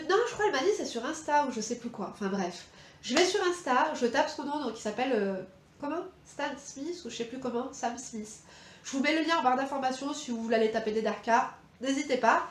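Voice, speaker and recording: A woman's voice: sits high, reverberant. A woman with a French accent chatting casually through a makeup tutorial, rambling with lots of fillers and slangy asides. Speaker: beauty vlogger. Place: indoor room at home.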